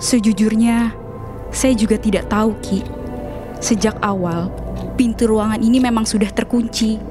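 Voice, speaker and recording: A woman's voice: a little high, fairly even intonation, normal speed, music in the background, close to an everyday voice. A woman playing an uneasy, polite young woman in a haunted house in a horror audio drama. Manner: polite, deferential, nervous.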